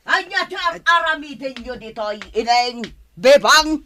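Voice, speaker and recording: A woman's voice: high-pitched, expressive, a little slow, pushed and bright, a little hiss or hum, heavily acted. A woman playing a stern, bossy madam and mother in a radio drama, commanding and scolding, with music behind her. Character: stern bossy mother and household madam opposing daughter's romance. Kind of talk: Ilocano radio drama acting.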